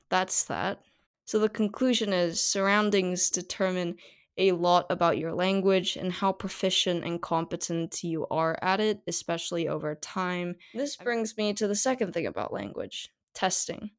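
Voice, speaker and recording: A woman's voice: lowish voice, measured pace. Teenage woman hosting a podcast. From South Korea. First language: Korean. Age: teens. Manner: chatty, many fillers. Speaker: podcast host. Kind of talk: casual solo podcast monologue.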